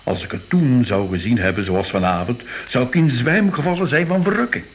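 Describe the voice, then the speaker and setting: An actor playing an emotional, awestruck art lover in a radio drama, exclamatory. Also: male voice, medium pitch, brisk, natural voice. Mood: awed, rapturous.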